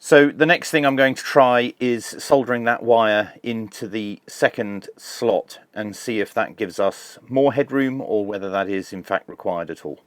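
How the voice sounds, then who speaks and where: A man hosting a hands-on tech demonstration, explaining each step in a measured, informal way. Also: lowish voice, no room sound. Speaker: host of a radio tech review channel.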